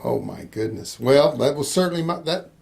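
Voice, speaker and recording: Older man with a gravelly voice. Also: mid-range voice, animated, moderate pace, a little mellow. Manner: rambling, hesitant restarts, laughs easily. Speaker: interviewer, missions organization host. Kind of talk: casual conversational interview.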